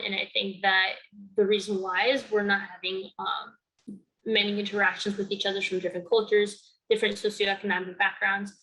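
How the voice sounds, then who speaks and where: A young woman of about nineteen who grew up in Connecticut and whose first language is Spanish. She speaks to the camera in an earnest, measured way, explaining her work step by step. Her voice sits at a middle pitch. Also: a bit bright, poor audio. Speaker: youth program founder and honoree. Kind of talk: recorded award-video talk to camera.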